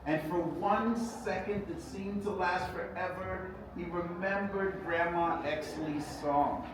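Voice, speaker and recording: A man reads a children's story aloud as its author, theatrically, with expressive delivery and dramatic pauses. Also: high voice. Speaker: author reading own book. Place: echoey indoor event space. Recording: echoey room, distant mic, noisy background.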